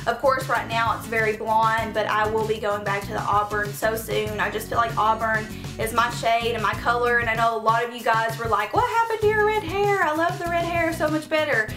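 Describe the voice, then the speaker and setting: A talkative young woman with a Tennessee Southern accent answers viewer questions in a relaxed vlog. Her voice sits a little high. The room is echoey, and music plays behind her. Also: a little fast, hardly any pauses. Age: 20s. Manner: chatty, earnest, frequent "um" and "like". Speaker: beauty vlogger. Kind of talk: casual Q&A vlog.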